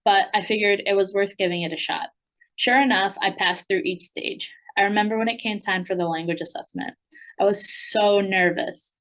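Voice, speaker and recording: An American woman in the middle of her range reads a prepared speech at a graduation event. Her delivery is earnest and steady, as a program alumna speaking to new graduates. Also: medium pitch, normal speed, low-quality recording. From United States. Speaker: program alumna and language coach.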